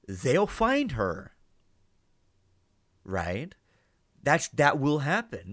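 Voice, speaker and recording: A man's voice: mid-range voice, a bit bright, no echo, clean studio sound. A man giving casual reaction commentary, thinking aloud and often checking in with rhetorical questions. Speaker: reaction and analysis host.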